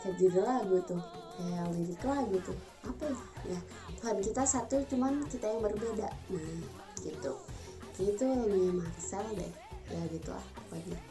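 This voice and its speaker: Young woman vlogger, Sundanese accent, chatty and emphatic, mid-pitched, music bed underneath. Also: expressive, moderate pace. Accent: Sundanese Indonesian. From Bandung, Indonesia. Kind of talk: casual talk to camera.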